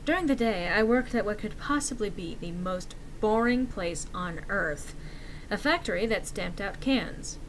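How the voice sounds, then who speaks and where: A woman voicing a frightened young man who recounts a horror encounter in a theatrical, deliberate dramatic reading. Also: medium pitch, lively intonation, slight background noise, natural voice, low-quality recording. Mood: wry, uneasy.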